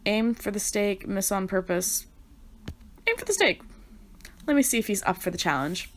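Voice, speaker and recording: A woman playing and reading through a story game with playful, excitable commentary, her voice a little hoarse from a sore throat. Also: medium pitch, normal speed. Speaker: gaming YouTuber.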